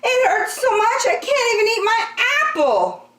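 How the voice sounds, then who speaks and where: Mulher fazendo menino chorão e agudo. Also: female voice, very animated, moderate pace, a bit bright, echoey room, exaggerated voice. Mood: wailing. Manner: wailing, crying high-pitched complaints. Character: young boy whining about a loose tooth.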